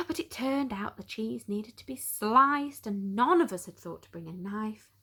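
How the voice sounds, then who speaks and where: A woman's voice: a little high, expressive, a little slow, pushed and bright, breathy edge. A woman storyteller reading a children's picture book aloud, expressively, with dramatic emphasis on key words.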